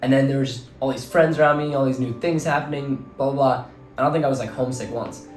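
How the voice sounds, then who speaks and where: A young man in his twenties from New Jersey, his voice slightly hoarse, talking through his thoughts in a casual, rambling way full of fillers. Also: medium pitch, fairly even intonation, fast. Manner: rambling, filler-heavy, earnest. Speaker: vlogger and teacher. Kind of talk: casual reflective monologue. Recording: roomy echo, faint background music.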